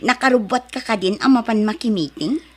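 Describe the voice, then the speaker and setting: A woman's voice: a little high, a little fast, natural voice. An actress playing a snobbish married woman in an Ilocano drama, with a taunting, exaggerated way of talking. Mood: mocking. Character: snobbish, mocking married woman who belittles poorer neighbours. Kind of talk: Ilocano radio drama acting.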